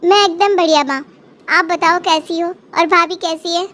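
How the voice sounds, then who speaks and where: A woman puts on a protective young married daughter for a cartoon drama. The voice is extremely high, fussy and ready to find fault, with noise behind it. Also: steady tone, normal speed, fairly bright tone. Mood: concerned. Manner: fussing, accusatory. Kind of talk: Hindi cartoon moral story acting.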